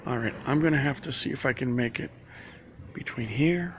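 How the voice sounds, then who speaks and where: A man's voice: mid-range voice, normal speed, muffled recording, a little hiss or hum. A man rambling through a live stream from inside a public library, full of restarts and asides. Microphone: phone or laptop camera.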